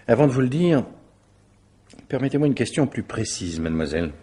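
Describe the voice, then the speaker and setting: An actor playing a courteous, probing police officer in a French radio mystery drama, formal and politely insistent. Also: male voice, mid-range voice, sing-song, a little fast, mellow, slightly rough.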